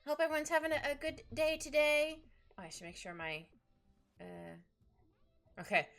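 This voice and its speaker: Bubbly, high-voiced young-sounding woman streamer. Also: a little slow, vocal fry, a bit bright. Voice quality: slightly stuffed-up nose. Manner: bubbly, giggly, rambling with trailing asides. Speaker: art livestream host. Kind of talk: casual livestream chat while drawing. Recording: music behind the voice. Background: background music.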